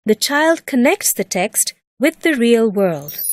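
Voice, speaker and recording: A woman narrating a scripted educational lesson in a measured, deliberate and expressive way, like a teacher explaining step by step. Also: fairly high pitch, no echo, studio quality.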